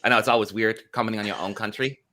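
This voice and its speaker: A man hosting a casual online panel chat on a video call, talking in a lively way with lots of fillers, from a home room. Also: fairly low pitch, racing. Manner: lively, chatty, frequent "y'all" and fillers. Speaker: host. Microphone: studio mic on a video call.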